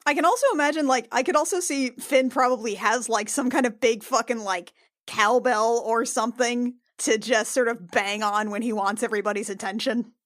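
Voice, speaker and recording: A woman's voice: fairly high pitch, moderate pace, a bit bright. A woman chatting casually and playfully as a player in a tabletop roleplaying game.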